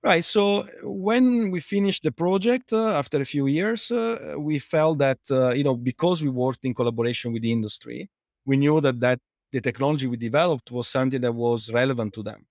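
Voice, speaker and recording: A man's voice: mid-range voice, brisk, darkish tone, no room sound, clean studio sound. A middle-aged man from Italy with an Italian accent, answering interview questions in a hesitant way with many fillers and restarts. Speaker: guest, fouling researcher and company co-founder.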